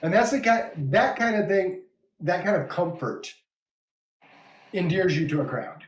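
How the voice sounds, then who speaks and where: A man's voice: high voice, lively intonation, unhurried, reverberant. A man from West Texas with a West Texas accent, hosting a casual wrestling podcast, laughing often and reading viewer comments aloud. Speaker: podcast host.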